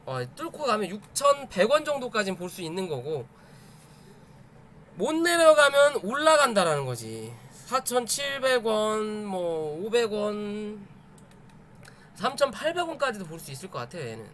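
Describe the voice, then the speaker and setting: A man's voice: high voice, very animated, normal speed, fairly bright tone, slight background noise. A man explaining charts to viewers in a conversational, emphatic live commentary, often repeating his points. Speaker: stock chart analysis streamer.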